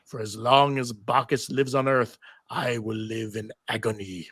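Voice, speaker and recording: A man voicing a tormented, bitter male bard cursed to be a severed head, gravely, in a comedic table read. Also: medium pitch, steady tone, a little slow, a bit bright, dry recording, clean studio sound, voice pushed down. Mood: anguished, grave.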